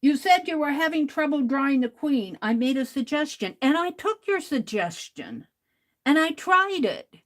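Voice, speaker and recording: A woman hosting an art livestream, talking casually to chat in a loud voice, rambling and restarting her sentences. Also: high voice, very animated, moderate pace.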